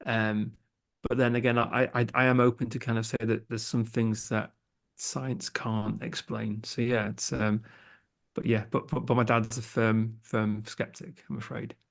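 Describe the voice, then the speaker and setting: A man's voice: medium pitch, little pitch movement, unhurried, vocal fry. A young man with an English accent, a podcast producer chatting as a guest in a casual interview, hesitant, with many fillers and restarts. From England.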